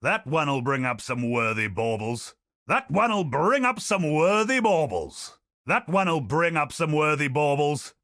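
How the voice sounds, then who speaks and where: An actor playing a bold, honor-bound adventurer in a video game, with theatrical battle cries. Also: male voice, mid-range voice, bright, forceful tone, dry recording, clean studio sound, exaggerated voice. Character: bold male knightly treasure-hunting adventurer.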